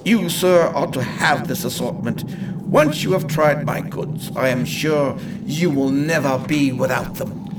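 A man's voice: a little high, measured pace, far from the mic, noisy background. A man playing an eccentric, chatty inventor and salesman in a radio play, courteous and theatrically proud. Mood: eager. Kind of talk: amateur radio play acting.

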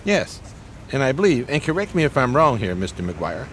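An actor playing a pompous, genteel homeless schemer in a 1920s radio play, speaking in flowery, courteous phrases. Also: male voice, medium pitch, sing-song, normal speed, fairly bright tone, slight background noise. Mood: confident, scheming.

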